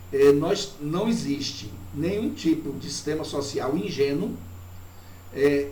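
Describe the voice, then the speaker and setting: A man's voice: fairly high pitch, a little mellow. A man from Bahia with a Bahian Brazilian accent giving a didactic, reflective academic lecture, often checking in with short tag questions. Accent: Bahian Brazilian Portuguese. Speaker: university professor. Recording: roomy, distant mic with faint noise.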